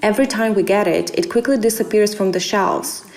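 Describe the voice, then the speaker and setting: A woman's voice: mid-range voice, fairly quick, darkish tone. A woman reading a translated expert interview as a voice-over.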